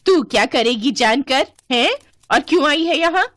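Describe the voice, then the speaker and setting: A woman voicing a grumpy, stingy, devout old village woman who scolds and exclaims in an animated moral story. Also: high voice, normal speed, dry recording, studio quality, pitched above the performer's own voice. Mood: snappish, suspicious.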